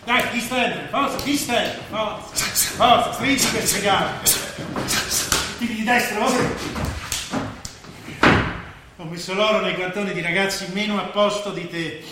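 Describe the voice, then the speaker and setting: Brash high-voiced patron, echoing stage. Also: male voice, a little slow, long pauses. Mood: commanding, fired up. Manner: commanding, patronising. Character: brash, smooth-talking male journalist and boxing patron. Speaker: stage actor. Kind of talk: theatrical stage dialogue. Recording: distant, very echoey, noisy stage recording.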